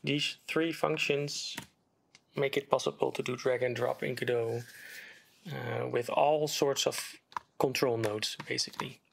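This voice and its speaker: Man, mid pitch, gravelly, walking through code calmly for a tutorial; faint hiss, typing and clicks underneath. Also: unhurried. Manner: relaxed, step-by-step explaining while typing. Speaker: game development tutor. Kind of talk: screen-recorded coding tutorial. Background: keyboard typing and mouse clicks.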